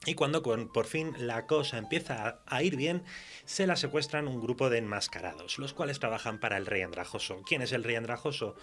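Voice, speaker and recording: A man with an accent from Spain speaking as a guest author in a conversational radio interview, halting as he thinks aloud. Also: medium pitch, fairly bright tone, faint music behind.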